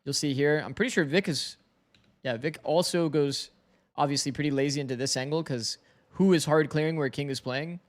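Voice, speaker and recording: Talkative streamer with a slightly raspy voice. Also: male voice, on the high side, brisk. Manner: talkative, thinks aloud, chats with viewers. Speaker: streamer co-streaming an esports match. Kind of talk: casual match analysis.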